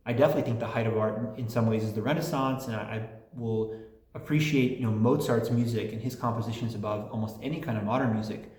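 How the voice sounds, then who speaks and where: A man's voice: mid-range voice, steady tone, quick pace, a little mellow. Guy rambling through fan mail on his channel, chatty, lots of restarts, kinda roomy echo. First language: English and Polish. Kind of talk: casual chat, reading listener letters.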